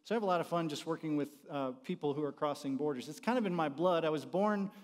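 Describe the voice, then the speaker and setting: A man's voice: a little high, quick pace. American man teaching in a reverberant hall. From born in the United States, raised partly in Haiti. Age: middle-aged. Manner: conversational, asks the audience questions. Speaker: university dean and teacher, conference speaker. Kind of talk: informal teaching talk to an audience. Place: large church auditorium, speaking from the front by the stage.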